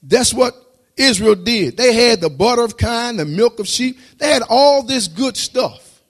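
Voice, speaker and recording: A man preaching a sermon, reading scripture slowly before preaching with rising intensity. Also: expressive. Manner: reads scripture slowly, then preaches rising in pitch and loudness.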